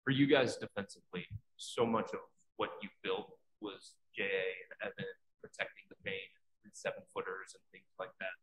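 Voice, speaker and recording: Male reporter, very deep voice, direct questions, press conference. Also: moderate pace, fairly bright tone, slight background noise, low-quality recording. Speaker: sports reporter.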